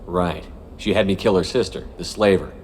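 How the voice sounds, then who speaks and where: An actor playing a stoic, gruff military commander in video game dialogue, terse and businesslike. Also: male voice, deep voice, moderate pace, a little mellow, a little hiss or hum, close to an everyday voice. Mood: terse, steady.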